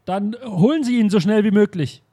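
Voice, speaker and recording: A man with a fairly high voice plays an anxious museum director. He talks in an urgent, fussy way. This is an improvised audio drama. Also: normal speed, mellow, slightly rough.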